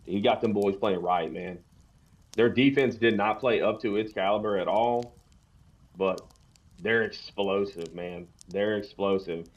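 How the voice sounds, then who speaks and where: A man's voice: on the low side, a little slow, highs cut off. A man co-hosting a podcast, chatting casually about sports in a colloquial, opinionated way. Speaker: podcast co-host.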